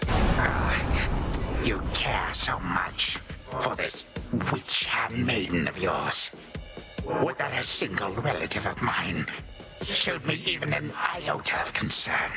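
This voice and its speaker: Slow, imperious old god villain. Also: male voice, lowish voice, big pitch swings, over loud music, heavily acted. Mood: scornful, bitter. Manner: slow, grandiose, archaic phrasing, sneering condescension. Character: imperious, menacing old male Titan god of time. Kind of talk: dramatic video game villain acting.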